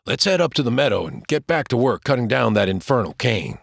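A man voicing an older pioneer father who speaks low, slow and plain. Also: sing-song, creaky, no echo, clean studio sound. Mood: steady.

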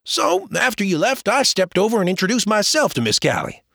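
A man voicing a good-humored young frontier friend who teases playfully in a lively voice. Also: high voice, a little fast, gravelly, no echo, clean studio sound, pitched above the performer's own voice, full character voice. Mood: teasing. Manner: playful, gently mocking.

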